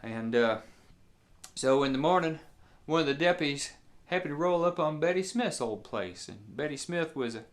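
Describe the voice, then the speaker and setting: A man from southeast Indiana spins a tale in a rural southern Indiana accent. His voice sits a little high for a man. He talks in folksy, colloquial phrases and lets pauses fall between them. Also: lively intonation. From southeast Indiana, United States. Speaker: musician and storyteller. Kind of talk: folksy storytelling to camera.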